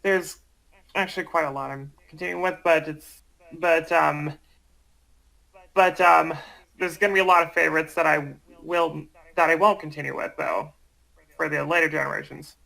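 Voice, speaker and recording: A man chatting casually as a guest, hesitant and halting, often repeating his words. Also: fairly high pitch, fairly even intonation, creaky, pushed and bright, low-quality recording. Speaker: guest, amateur voice actor. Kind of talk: casual chat.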